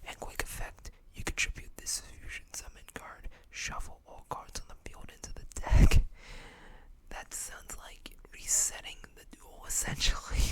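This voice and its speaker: An ASMR host whispering softly and without hurry, reading out card text and adding casual asides.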